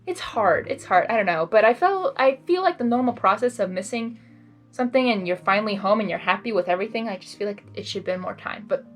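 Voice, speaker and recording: A woman reads a story aloud and adds expressive, rambling casual reactions. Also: medium pitch, fast talker. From Hungary. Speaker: fan fiction reader and commentator. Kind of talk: blind reading with casual reaction commentary.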